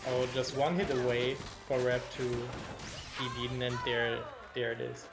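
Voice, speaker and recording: A man with a German accent doing live play-by-play commentary on a match, quick and engaged as he reacts to the action. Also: medium pitch, little pitch movement, creaky. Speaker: esports commentator. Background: game music.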